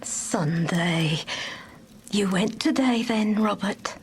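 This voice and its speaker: Woman acting sorrowful gentle mother, slow pace, hesitant, audiobook drama, faint noise behind. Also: mid-range voice, close to an everyday voice, poor audio. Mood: quiet, subdued. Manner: tender, hesitant. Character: gentle grieving working-class mother.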